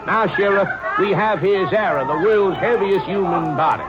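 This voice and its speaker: An actor playing a smooth-talking, evasive male sideshow showman with grandiloquent speech, in an old-time radio drama. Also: sits high, moderate pace, highs cut off, a loud crowd, heavy background noise, close to an everyday voice. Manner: grandiloquent, with a hesitant uh.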